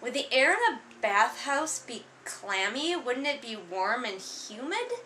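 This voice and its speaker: Bright, high-voiced woman, sarcastic book critic. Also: very animated, unhurried, reverberant, a little hiss or hum. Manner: sarcastic, exasperated, conversational. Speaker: host of a book read-along. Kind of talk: casual comedic book critique commentary.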